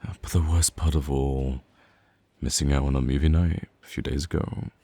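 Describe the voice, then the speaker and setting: A man's voice: rumbling low, fairly even intonation, moderate pace, dark tone, husky, no room sound, heavily acted. A man playing a playful, teasing young man bantering casually with a close friend in a conversational audio roleplay. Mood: casual, weary. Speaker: ASMR roleplay voice actor. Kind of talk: conversational ASMR roleplay acting.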